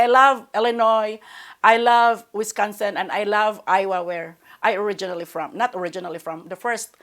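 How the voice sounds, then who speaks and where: Woman hosting an interview. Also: mid-range voice. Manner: formal, announcer-like introductions with slips. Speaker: interview show host.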